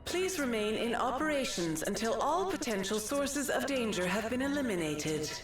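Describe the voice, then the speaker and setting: A woman voicing an official facility announcement system, calm, formal, measured and impersonal. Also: on the high side, faint music behind. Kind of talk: slow formal public announcement. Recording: heavily echoing public-address announcement.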